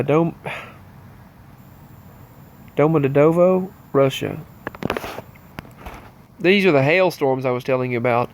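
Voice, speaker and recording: A man's voice: medium pitch, clean tone. A man hosting his channel, with a stuffy nose from allergies, running loosely and earnestly through a list of strange news in a casual monologue. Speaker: channel host.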